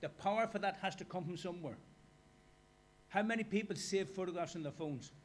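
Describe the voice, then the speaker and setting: A man's voice: high-pitched, a little fast. A man with an Irish accent giving a conversational workshop lecture and putting questions to the audience. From Ireland. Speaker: guest lecturer. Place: lecture hall.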